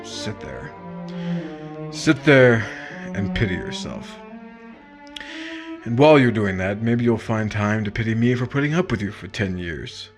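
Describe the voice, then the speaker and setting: A man playing a bitter, resentful husband in a radio drama, accusing his wife in a deliberate way with pointed pauses. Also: lowish voice, lively intonation, faint music behind. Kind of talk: old-time radio drama acting.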